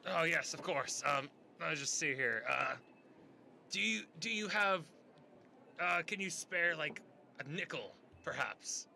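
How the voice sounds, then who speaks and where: A man's voice: on the high side, expressive, a little slow, creaky, bright, forceful tone, slight background noise, heavily acted. A man playing a doddering, polite old customer in a comedy sketch, stammering and fussily repeating himself. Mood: flustered.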